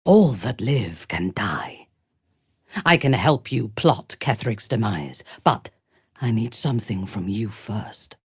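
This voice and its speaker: A ruthless dark elf warrior woman from a fantasy video game. Her voice is low and husky, and she speaks slowly and imperiously. Every phrase is deliberate, haughty and full of menace. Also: no echo, studio quality, full character voice. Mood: cold menace. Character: ruthless, haughty adult female drow warrior zealot.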